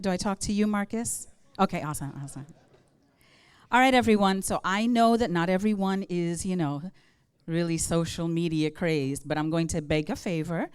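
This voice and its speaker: A woman's voice: on the low side, darkish tone, clean tone, no room sound. Upbeat Guyanese woman hosting an event. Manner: upbeat, coaxing the audience to join in. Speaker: master of ceremonies. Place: large event room.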